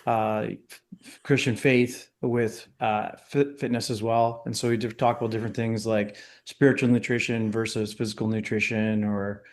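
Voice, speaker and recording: A man's voice: fairly low pitch, normal speed. Laid-back Canadian man. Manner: relaxed, rambling, frequent "uh" fillers. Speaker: podcast guest, coach. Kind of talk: casual interview.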